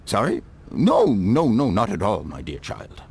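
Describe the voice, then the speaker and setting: An actor playing a doddery, kindly older professor in a video game, musing and hesitant in a theatrical way. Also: male voice, fairly low pitch, huge pitch swings, measured pace, gravelly, darkish tone, slightly rough, heavily acted. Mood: kindly, doubtful.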